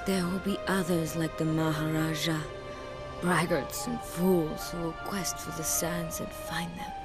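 An actress playing an imperious, fatalistic and vengeful empress in a video game, with a stately, theatrical delivery. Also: female voice, deep voice, flat delivery, unhurried, loud music behind the voice. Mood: dying, bitter. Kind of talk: dramatic video game cutscene acting.

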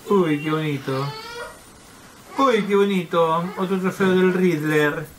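A man's voice: on the high side, takes it slowly, slight background noise. A man hosting a gaming video with casual, playful, joking commentary. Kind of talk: casual let's-play commentary.

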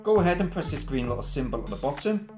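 A man's voice: fairly high pitch, sing-song, fast talker. A man with an English accent, narrating a casual step-by-step tech tutorial in an upbeat, chatty way. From England. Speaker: tech video host. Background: background music.

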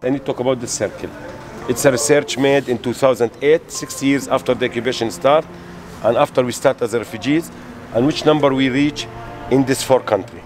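A middle-aged man from Palestine, guiding visitors outdoors in halting English. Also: mid-range voice, music in the background. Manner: halting non-native English, reading out figures. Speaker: tour guide. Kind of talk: explaining to visitors. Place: outdoors by a street wall.

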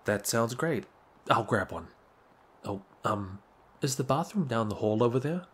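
A man's voice: medium pitch, animated, unhurried, fairly bright tone, husky. A young man playing a shy, awkward, apologetic neighbor in an ASMR roleplay, speaking hesitantly. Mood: sheepish, apologetic.

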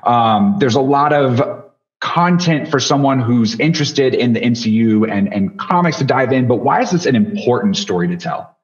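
A man's voice: medium pitch, animated, normal speed. A man in his thirties hosting a casual interview. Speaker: interview host. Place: small home studio, indoors. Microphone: desk microphone on boom arm, headphones. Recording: slightly dull video-call audio.

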